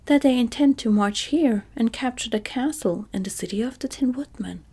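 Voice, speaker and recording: A modest, eager young boy whispers his lines, voiced by a woman. The tone is mellow and breathy with a slight gravelly rasp. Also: a little high, normal speed. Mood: earnest. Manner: soft whispered delivery.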